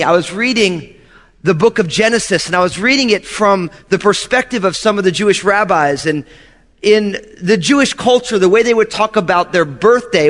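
A man's voice: sits high, a bit bright, long reverb tail. A man in his thirties, a pastor preaching a sermon in an earnest way, repeating key phrases for emphasis. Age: 30s.

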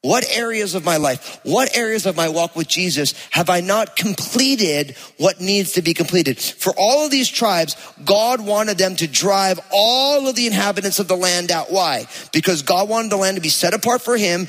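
A man with a New Jersey accent preaching a sermon to a congregation, speaking conversationally and with emphasis, slowing down to read scripture aloud. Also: high voice, a bit bright. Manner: conversational, emphatic, slows to read place names. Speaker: pastor. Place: church hall with live congregation. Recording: long reverb of a church hall.

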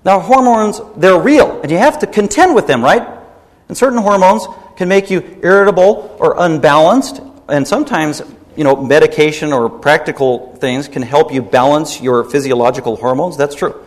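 Man, pastor, sermon, emphatic, rebuking, fairly high voice, long hall reverb. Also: animated, moderate pace. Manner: emphatic, rebuking, repeats points for stress.

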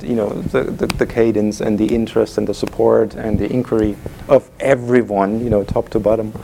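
A man's voice: on the low side, vocal fry, warm tone, a little hiss or hum. A middle-aged man from Germany with a German accent, speaking casually onstage to a live audience as guest speaker, with many "you know" fillers. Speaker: guest speaker, sales executive. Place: event room before a live audience.

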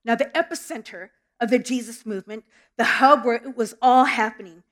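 Woman, middle-aged, from Southern California; pastor-style sermon voice, earnest storyteller, fairly high pitch. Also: a little fast, fairly bright tone. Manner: earnest, storytelling, with small restarts. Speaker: preacher. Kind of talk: sermon with prayer. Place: church sanctuary.

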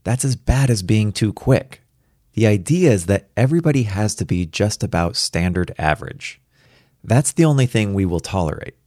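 A man's voice: on the low side, lively intonation, normal speed, a little mellow, no room sound, clean studio sound. A man reading the part of an anxious, protective father in a story, speaking in an earnest, cautioning way. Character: anxious, protective father of a gifted little girl.

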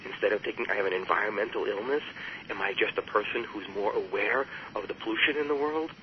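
A man with a fairly low voice speaks with measured earnestness and builds his points through rhetorical questions. The sound is muffled, like an older radio broadcast, with a faint hiss underneath. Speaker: author and guest. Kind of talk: reflective interview talk.

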